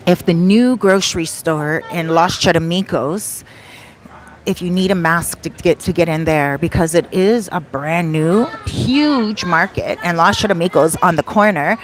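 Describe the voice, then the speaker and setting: A woman's voice: lowish voice, unhurried, a little hiss or hum. A woman hosting a casual livestream Q&A, chatting conversationally and wandering off into asides. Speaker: livestream host answering viewer questions.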